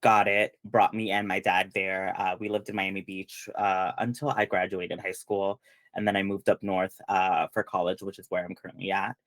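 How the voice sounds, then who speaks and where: A man's voice: low-pitched, flat delivery, moderate pace, fairly bright tone, no room sound. A young man from Peru hosting a casual panel chat, rambling with lots of fillers. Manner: chatty, many fillers and restarts. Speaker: panel host.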